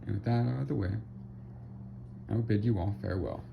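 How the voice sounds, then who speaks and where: Raspy-voiced man with gravelly low voice. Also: a little fast, noisy background. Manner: hesitant, many ums and restarts. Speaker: spray paint artist. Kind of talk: casual video commentary. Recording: muffled audio with loud music behind. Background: loud background music.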